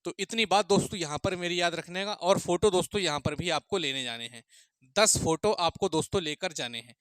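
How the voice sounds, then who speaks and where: Male coaching instructor, North Indian accent, high bright voice, explains step by step, repeats himself and checks in often. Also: a little fast, clear, resonant tone, dry recording. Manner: repetitive, with frequent check-in phrases for listeners. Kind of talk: explanatory online lecture addressed to students.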